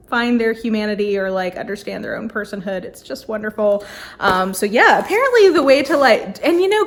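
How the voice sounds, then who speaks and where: A woman with a somewhat high voice talks casually to her viewers as a book reviewer. Her delivery is effusive and informal, peppered with "like" as she goes. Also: a bit bright. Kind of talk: casual chatty book talk to camera.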